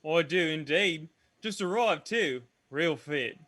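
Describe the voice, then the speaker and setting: Man acting a cocky male street thief, higher voice, slangy, teasing, gossipy, dry sound, stage reading. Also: lively intonation, slow pace, pushed and bright, no room sound, exaggerated voice. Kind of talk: dramatized stage play script reading.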